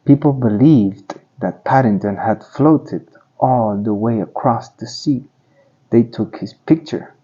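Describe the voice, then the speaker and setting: A man reads a picture book aloud for children, taking his time with deliberate phrasing. His voice is soft-edged and mellow, with some huskiness, and the recording sounds a little dull. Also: mid-range voice, husky. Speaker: children's story reader. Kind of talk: read-aloud storybook narration.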